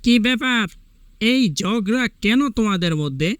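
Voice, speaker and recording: A man voicing a calm, learned fox who judges and admonishes in a measured way in a cartoon fable. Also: high voice, dry recording, studio quality, voice pushed up. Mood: calm questioning.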